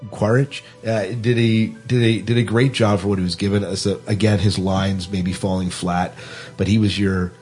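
A man's voice: fairly low pitch, steady tone, moderate pace, darkish tone, soft background music. A man hosting a podcast, casually discussing a film and often repeating phrases as he works out his point. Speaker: podcast host.

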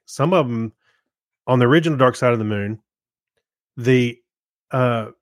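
A middle-aged man co-hosting a podcast, chatting casually in a low-key, hesitant, meandering way. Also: no room sound, clean studio sound. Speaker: podcast co-host. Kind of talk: casual chat, giving a review.

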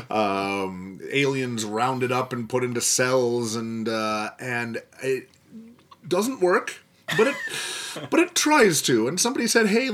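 A man's voice: medium pitch, a bit bright. A man chatting casually as a podcast guest, with a wry, mock-dramatic way of talking.

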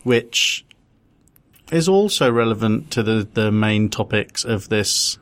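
A man's voice: fairly low pitch, lively intonation, takes it slowly, fairly bright tone. Self-deprecating London man, rambling chat. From London, England. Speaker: podcast co-host. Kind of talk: casual podcast chat.

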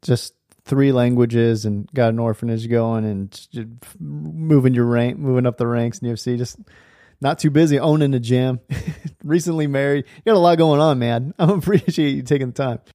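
A man's voice: mid-range voice, moderate pace, dark tone, no echo, clean studio sound. A man hosting a podcast, friendly and affirming, chatting casually with a guest. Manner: friendly, affirming, laughs often. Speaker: podcast host. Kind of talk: casual interview chat.

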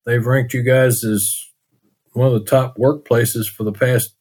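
A man's voice: medium pitch, steady tone, unhurried, a little mellow. A man with a Texas accent hosting a podcast interview in an easygoing, friendly way. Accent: Texan. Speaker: podcast host.

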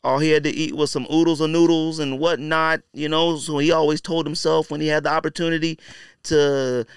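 A man's voice: a little high, level tone, fairly quick, smooth, no room sound. A man hosting a podcast, giving casual, opinionated commentary in a conversational, slangy, blunt way with emphatic repetition. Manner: conversational and slangy, frequently saying "you know" and "man". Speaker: podcast host.

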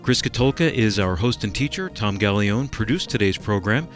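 A man's voice: fairly low pitch, animated, moderate pace, music in the background. A man announcing on a radio program in a scripted presenter style. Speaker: radio announcer and executive producer. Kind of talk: scripted radio show outro.

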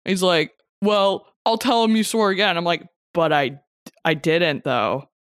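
A woman co-host telling a story in a wry, deadpan way during a casual podcast chat. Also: lowish voice, unhurried, dry recording, clean studio sound.

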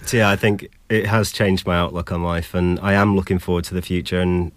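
A man's voice: low voice, flat delivery, brisk, no room sound. A young man with a British accent giving an articulate, thoughtful personal account as an interview guest. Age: young adult. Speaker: interview guest sharing his experience.

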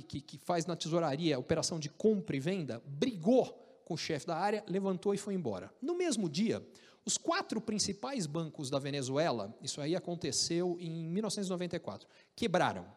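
A man with a Brazilian Portuguese accent telling an animated, joking story about his career, with frequent restarts. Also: sits high, long reverb tail. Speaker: speaker recounting career story. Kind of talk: casual storytelling talk.

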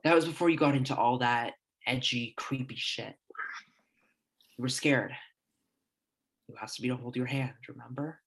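Young man as a resigned teen brother, husky and subdued. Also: medium pitch, moderate pace, long pauses, poor audio. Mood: wistful. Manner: subdued, flat, trying to sound calm. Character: scared teenage boy Rory, quietly resigned older brother. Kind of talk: student audio drama.

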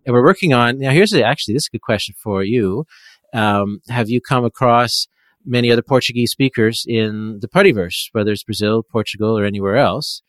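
A man in his thirties from Ontario, Canada, hosting a podcast in relaxed conversation and asking friendly questions. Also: medium pitch, fairly quick, dry recording. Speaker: podcast host. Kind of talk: casual chat.

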